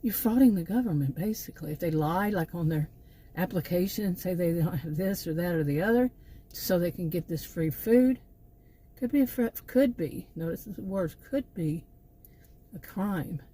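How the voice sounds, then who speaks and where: A woman's voice: fairly low pitch, normal speed, mellow, breathy edge. A middle-aged woman with a Texan accent, talking in a meandering opinion monologue full of asides and restarts. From Texas. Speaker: channel host.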